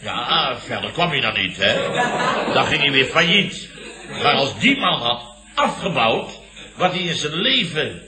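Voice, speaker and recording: An actor playing a blustering, self-important middle-aged boss who tells indignant tall tales emphatically in a Dutch radio comedy. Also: male voice, medium pitch, very animated, measured pace, crowd noise. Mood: boastful. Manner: emphatic, ends phrases on questioning tags. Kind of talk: 1960s-70s Dutch radio comedy acting. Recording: roomy old radio comedy recording.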